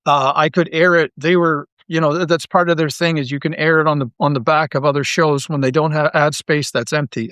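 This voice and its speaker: A middle-aged man talking casually as an interview guest, thinking aloud with halting, repeated phrases. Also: fairly high pitch, fairly bright tone, no room sound, studio quality. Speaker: guest, audio drama writer and creator.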